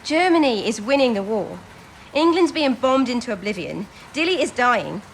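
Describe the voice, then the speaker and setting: A woman's voice: a little high, big pitch swings, moderate pace, a little hiss or hum, poor audio. A woman playing an earnest, spirited young wartime codebreaker, speaking expressively and well-spoken in a period radio drama. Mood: anxious, weary. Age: young adult.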